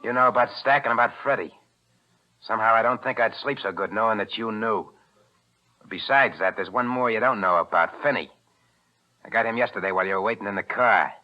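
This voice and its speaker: A man plays a cold-blooded gangster from a 1940s radio thriller, talking tough street slang in a fairly low voice. The sound is muffled, like an old broadcast. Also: brisk, close to an everyday voice. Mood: menacing. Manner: slangy tough-guy talk.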